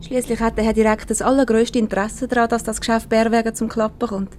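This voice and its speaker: A woman's voice: a little high, level tone, fast talker, a little mellow, natural voice. An actress playing a bold, playful, insistent woman coaxing her boyfriend in a Swiss German comedy radio play. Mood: determined. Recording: reverberant radio play recording with background noise.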